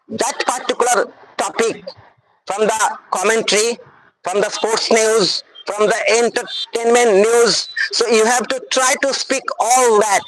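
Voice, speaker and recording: A woman's voice: a little high, fairly bright tone, poor audio. Woman, Bengali accent, English teacher, earnest, repetitive, talks straight to her audience. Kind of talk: motivational spoken English lesson.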